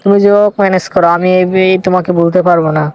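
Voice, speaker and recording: A man acting as a young Bangladeshi boyfriend in a cartoon comedy, devoted and quick to flare up. His speech comes very fast. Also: high-pitched, level tone, no echo, muffled recording, lightly acted. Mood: hurt, angry. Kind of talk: comic cartoon dialogue.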